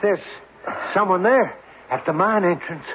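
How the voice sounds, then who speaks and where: An actor playing a naive, nervous, plain-spoken crew helper in a radio drama, with an anxious, questioning manner. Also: male voice, sits high, expressive, measured pace, phone-quality sound. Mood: puzzled. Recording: thin, noisy vintage radio recording.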